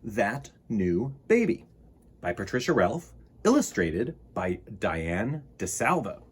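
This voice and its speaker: A man's voice: fairly low pitch, very animated, takes it slowly, a little hiss or hum. A man reading a children's picture book aloud with playful, theatrical emphasis and casual asides. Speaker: children's storytime reader.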